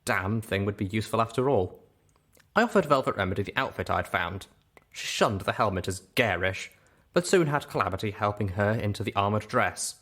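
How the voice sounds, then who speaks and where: A man's voice: fairly low pitch, lively intonation, a little fast, fairly bright tone. A man narrating a story expressively as host of a reading show.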